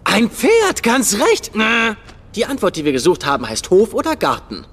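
A man's voice: high-pitched, wildly swooping pitch, normal speed, a bit bright, slightly rough, full character voice. An actor playing a playful, cocky young amateur sleuth in dubbed comedy-crime TV dialogue, flippant and goofy as he banters. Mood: smug banter.

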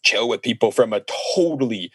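A man's voice: medium pitch, lively intonation, fairly quick, breathy edge, dry recording, studio quality. A young Canadian man co-hosting a podcast, chatting eagerly and swearing casually. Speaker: podcast co-host. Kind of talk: casual chat.

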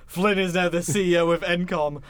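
A man's voice: high-pitched, fairly even intonation, fairly quick, smooth. A man hosting a podcast, recapping a film plot in a casual, jokey and informal way. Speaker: podcast host.

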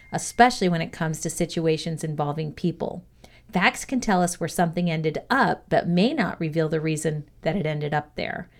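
A woman reading scripted educational narration in a measured, deliberate way. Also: lowish voice, darkish tone. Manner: measured, deliberate read-aloud delivery. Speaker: reader of a values lesson.

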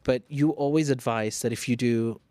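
A man's voice: mid-range voice, warm tone, no room sound. Friendly male podcast host, dry close mic. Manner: friendly, backchannels often, some halting phrasing. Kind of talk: podcast intro and casual interviewing.

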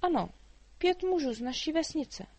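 A woman voicing a small, chatty human girl who talks in eager, high-pitched chatter, in a storybook reading. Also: big pitch swings, a little mellow, no room sound. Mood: lively.

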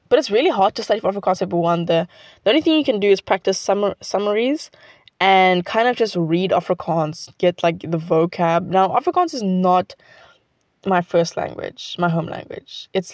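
A woman's voice: lowish voice, moderate pace, dry recording. A teenage girl from South Africa with a South African accent, Xhosa her first language, chatting casually in a rambling vlog full of "like". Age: teens. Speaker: student vlogger.

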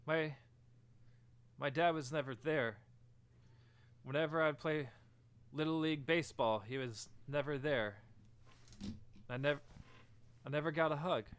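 A man's voice: fairly high pitch, a little slow, pauses often, clean tone, natural voice. A man playing a desperate male bank robber who threatens with a hot dog, bullying at first and needy underneath. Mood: sulky.

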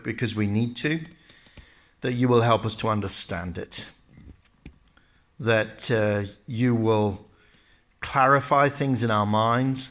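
A man who is a pastor, praying and then telling a story in a measured, storytelling way, speaking in a church. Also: medium pitch, fairly bright tone. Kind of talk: sermon with narrated story.

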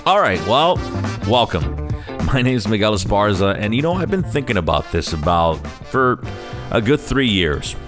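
Low-voiced American man hosting a podcast. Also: big pitch swings, moderate pace, music in the background. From Chicago, United States. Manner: conversational, switches between English and Spanish. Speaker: podcast host. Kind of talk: casual solo podcast introduction.

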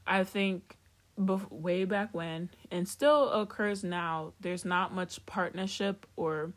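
Woman podcast co-host from Africa, slightly low voice, candid and easygoing. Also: slow. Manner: candid, laughs often, drifts off topic. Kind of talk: casual chat.

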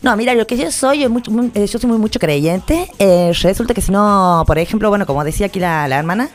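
A woman's voice: mid-range voice, animated, quick pace, natural voice. A woman voicing a devout, superstitious lady who rambles and hesitates. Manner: hesitant, rambling, filler-heavy.

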